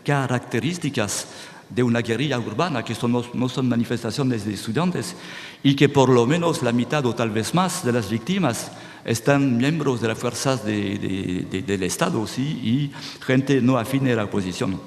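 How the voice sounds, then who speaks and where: A man's voice: medium pitch, normal speed. Man speaking to an audience in a hall. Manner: animated, restarts words, checks with "¿sí?". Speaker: speaker at a conference. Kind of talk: lecture-style talk to an audience. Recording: reverberant hall, faint noise.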